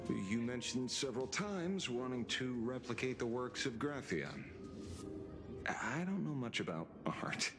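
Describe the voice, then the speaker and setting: A man playing a humble, devoted protector in a video game, formal and earnest with a hesitant, self-effacing way of talking. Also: sits low. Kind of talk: video game character acting. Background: clear background music.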